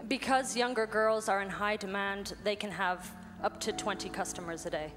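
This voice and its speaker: A woman in her thirties from Ireland tells her story on stage in short, earnest, measured phrases, pausing after each one. A long hall reverb trails her voice, and clear music plays beneath. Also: medium pitch, fairly even intonation. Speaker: missionary guest. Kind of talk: testimony on stage. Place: large hall. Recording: echoey hall with music behind.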